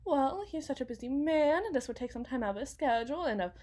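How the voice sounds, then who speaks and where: A woman playing an anxious, fragile wife and mother in an ASMR roleplay, rambling haltingly and correcting herself as if blaming herself. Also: sits high, expressive, a little mellow. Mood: nervous, fragile. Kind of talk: ASMR dramatic monologue roleplay.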